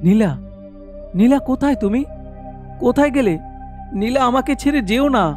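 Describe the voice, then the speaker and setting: A man voicing an emotional, complaining young friend in an animated horror story. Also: very high-pitched, normal speed, soft background music. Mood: anguished.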